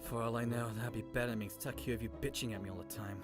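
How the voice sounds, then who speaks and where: A man's voice: medium pitch, fairly even intonation, racing, over music, lightly acted. An actor playing a sullen, defiant teenage son in a visual novel game, bitter and insolent as he argues back.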